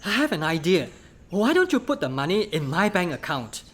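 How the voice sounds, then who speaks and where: A woman's voice: on the low side, sing-song, normal speed, husky. A woman playing a coaxing, insistent young office worker in a radio play, with a Singaporean accent. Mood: persuasive. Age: young adult. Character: earnest, persuasive young working adult child, office employee.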